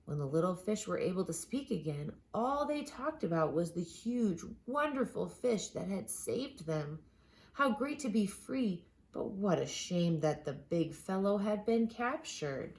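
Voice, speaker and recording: A woman reading a children's picture book aloud expressively, with dramatic pauses and drawn-out words for emphasis. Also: medium pitch, very animated, a little mellow. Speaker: children's storybook reader.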